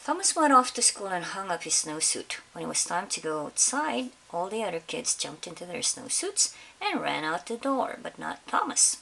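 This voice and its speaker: A woman reading a picture book aloud to children in an expressive storytelling style, pausing to put questions to her listeners. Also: lowish voice, vocal fry, poor audio. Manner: pauses to question young listeners. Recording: faint background hiss.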